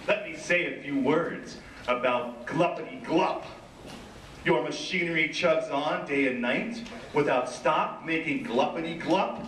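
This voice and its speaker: High, slow, nagging woodland creature, acted by a man, mournful storybook stage reading, echoey noisy room. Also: fairly bright tone, far from the mic.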